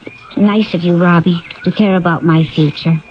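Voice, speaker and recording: A woman's voice: lowish voice, steady tone, normal speed, slight background noise, natural voice. An actress playing a guarded, devoted young woman in a 1970s radio drama. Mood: earnest, wistful. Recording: dull vintage radio recording.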